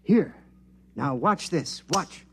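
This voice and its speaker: Excitable high-voiced radio-play scientist. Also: male voice, slow pace, husky, exaggerated voice. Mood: excited. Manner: animated, explanatory, eager. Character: excitable, proud government signal scientist, eager and absent-minded. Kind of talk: 1950s radio drama acting.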